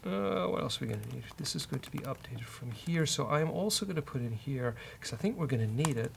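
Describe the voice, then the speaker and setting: A man's voice: medium pitch, lively intonation, quick pace, vocal fry, a little hiss or hum. A man hosting a casual live coding walkthrough, thinking aloud and joking as he works. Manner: joking, bantering with co-host. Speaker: host of a programming show, coding live.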